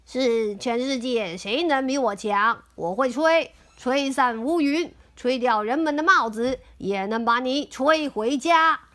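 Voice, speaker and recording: A woman's voice: high voice, a bit bright. A woman doing a cocky blustering wind. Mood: boastful. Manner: slow, drawn-out boasting.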